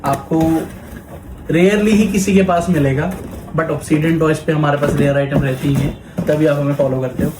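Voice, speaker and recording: A man's voice: a little high, fairly even intonation, moderate pace. An Indian man giving a casual product demo as a toy shop presenter, explaining step by step and mixing Hindi with English. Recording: echoey room, background noise and clicking.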